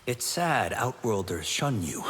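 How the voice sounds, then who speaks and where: Humble polite game martial artist, expressive pitch, reverby noisy recording. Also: male voice, measured pace. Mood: earnest. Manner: polite, earnest. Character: modest, courteous young male martial arts champion. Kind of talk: video game character dialogue. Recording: long reverb with background noise.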